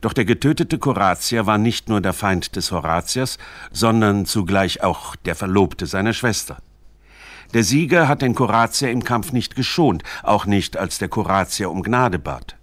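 A man reading scripted narration for a literary radio documentary in a measured, formal manner. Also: fairly low pitch, lively intonation, dry recording.

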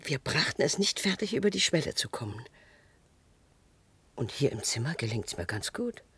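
An actor in a radio drama playing a forgetful, confined character who speaks in a dreamy, halting way. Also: mid-range voice, big pitch swings, bright, forceful tone, husky, no echo, exaggerated voice. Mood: hushed, uneasy.

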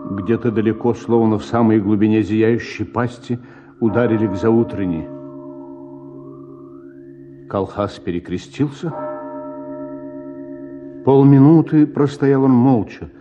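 A man's voice: fairly low pitch, unhurried, long pauses, lo-fi sound. A man narrating a literary short story for radio in a dramatic storytelling style. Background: music.

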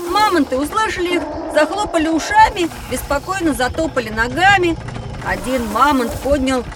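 A curious young boy with a high, eager voice. The child sounds excitable and emotive in this children's audio drama, set a bit off mic amid clear ambient noise. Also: moderate pace, distant mic. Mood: excited, worried.

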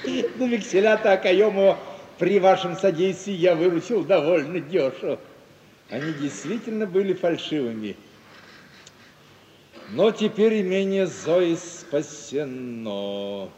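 An affectionate, playfully conspiratorial uncle with a high male voice. The delivery is jovial and theatrical, like old radio comedy. It echoes in a hall over faint noise. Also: lively intonation, a little slow, dark tone, dull audio. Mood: businesslike. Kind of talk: 1950s Russian radio stage-comedy acting. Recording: echoey, faintly noisy 1950s radio recording.

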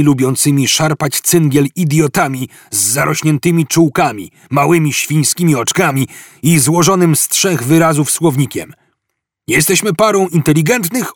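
A man's voice: on the high side, dry recording, clean studio sound, pitched above the performer's own voice, lightly acted. A man playing an indignant, wordy space policeman in a comic audiobook, bellowing his earnest speeches. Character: megaphone-wielding space policeman, indignant, self-righteous, wordy.

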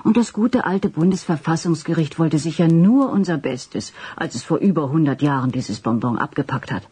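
Sly, husky-voiced news reporter. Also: female voice, low-pitched, expressive, normal speed, full character voice. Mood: mocking. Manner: teasing, coaxing, gently ironic. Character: sly, cheeky, persistent female TV news reporter. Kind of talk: radio drama acting.

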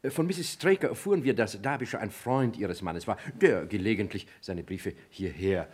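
An actor playing a dutiful, thorough police inspector in a German radio play, reporting methodically and quick to agree. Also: male voice, lowish voice, animated, slightly rough. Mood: matter-of-fact. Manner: methodical reporting, eager repeated assent.

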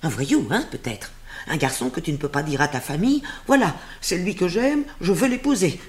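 A woman's voice: moderate pace, lightly acted. An actress voicing an old royal nurse who scolds and dotes on her charge, in a stage drama. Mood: scolding. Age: older. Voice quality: lively scolding voice, swinging high and low.

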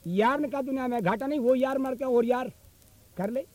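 A man's voice: very high-pitched, normal speed. A man performing a folk tale as a traditional storyteller, moving between sung verse and spoken narration. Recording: field cassette recording with instrument accompaniment. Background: musical instrument accompaniment.

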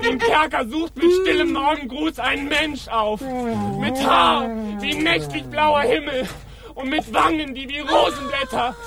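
An actor playing a florid, pompous poet who declaims lofty verse in a theatrical radio drama. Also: male voice, very high voice, exaggerated voice.

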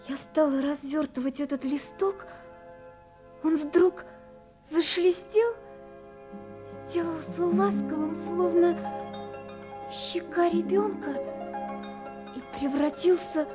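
A woman's voice: extremely high pitch, takes it slowly, long pauses. An actress playing a dreamy, wondering young woman reading her letters in a lyrical, wistful radio play. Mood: tender wonder. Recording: 1970s radio production with music. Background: music.

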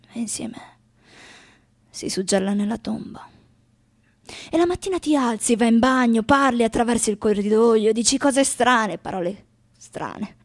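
A woman playing a wry, disillusioned lover in radio theatre, reciting bleak poetry with pauses and repeated words. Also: on the high side, vocal fry, darkish tone, no echo, clean studio sound.

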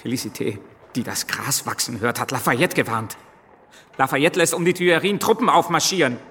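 An actor playing a scornful, impatient revolutionary in a radio drama. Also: male voice, mid-range voice, moderate pace, a bit bright, long reverb tail, a little hiss or hum, natural voice. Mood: agitated, scornful.

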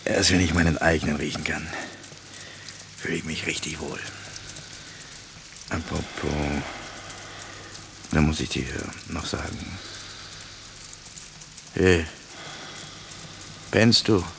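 A weary companion on the run in a radio play. His voice is extremely low and slow, with dry, unimpressed grumbling. He sounds sardonic, set against a faint ambient scene. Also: fairly even intonation, creaky, background noise, exaggerated voice. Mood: dry, musing.

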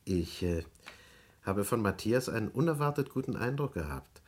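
A man playing a courteous elderly professor in a radio play, speaking haltingly in a husky, raspy voice. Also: lowish voice, expressive, a little mellow. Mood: cautious, thoughtful. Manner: halting, murmured interjections and hesitations. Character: hesitant, courteous elderly professor and old family friend. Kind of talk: German radio play acting.

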